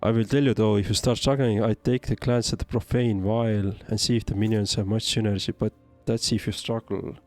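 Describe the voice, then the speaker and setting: A man with an Estonian accent speaks in a fairly low voice over faint music. He is commentating on a game for a livestream, casually responding to chat. His delivery is halting and hesitant as he works out his thoughts aloud. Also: steady tone, darkish tone. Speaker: game streamer.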